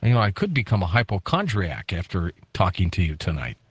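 A radio talk host in his fifties, chatty and curious as he presses a guest with questions. His voice sits low but moves animatedly up and down, and the studio sound is close and dry. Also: very animated, brisk, slightly rough, no echo. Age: 50s. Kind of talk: radio interview.

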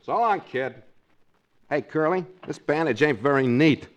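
A man's voice: a little high, unhurried, lightly acted. An actor playing a lazy man who milks a broken leg, with an affected, grandiose, mock-suffering way of talking in 1940s radio comedy style. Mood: whiny. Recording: noisy old radio recording.

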